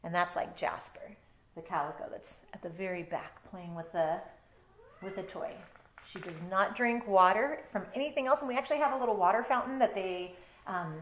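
A middle-aged woman reads a picture book aloud at an average pitch for a woman. She breaks off often for chatty, explanatory asides in a conversational way. The sound is roomy, with clear indoor echo around her voice. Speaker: storytime reader, teacher. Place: bedroom at home.

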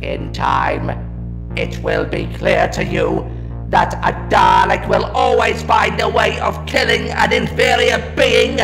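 An actor playing a ruthless alien war machine in a sci-fi audio drama, barking staccato commands through a robotic filter. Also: male voice, sits high, gravelly, exaggerated voice. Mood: contemptuous. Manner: staccato, drawn-out, commanding barks. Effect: harsh robotic ring-modulated filter. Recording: echoey, music behind.

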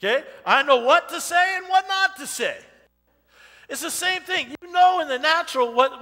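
A man in his forties preaching an informal sermon in a church, talking loudly and animatedly while he walks among the audience. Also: very high-pitched. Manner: loud and animated, walking the stage and aisles. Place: church sanctuary with a stage. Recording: long reverb of a church hall.

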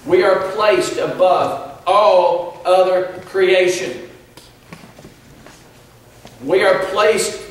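A middle-aged man with a Southern US accent preaching a sermon at a pulpit, earnest and measured with pauses. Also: high-pitched, a little hiss or hum. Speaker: pastor. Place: large church sanctuary, at a pulpit. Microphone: pulpit mic, filmed from far back. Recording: echoey church hall from far off.

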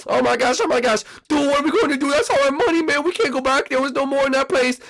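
A man plays a whiny, excitable young boy with a very high, swooping voice. He is dramatic and frantic, repeating his phrases like a cartoon kid in a flap. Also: fairly quick, vocal fry, full character voice. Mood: frantic, distraught.

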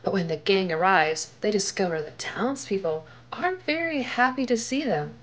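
An older woman reading a children's picture book aloud in an expressive, dramatic way. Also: medium pitch, normal speed.

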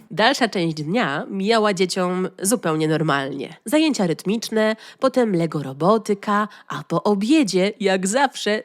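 A woman narrating a children's audio story in an expressive, animated way. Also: mid-range voice.

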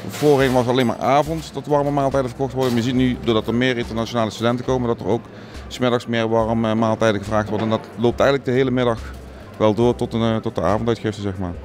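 A man's voice: mid-range voice, fairly even intonation, fast, few pauses, background noise. A middle-aged man, a catering account manager, answering interview questions hesitantly with many fillers in a university canteen. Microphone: handheld microphone held by interviewer.

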